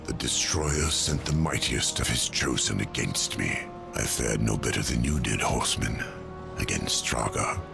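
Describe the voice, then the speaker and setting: An actor voicing a grave, weary, ancient angel of death in a fantasy video game, with a portentous delivery. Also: male voice, very deep voice, a little slow, gravelly, music in the background, heavily acted. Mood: solemn relief. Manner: solemn, portentous, pleading.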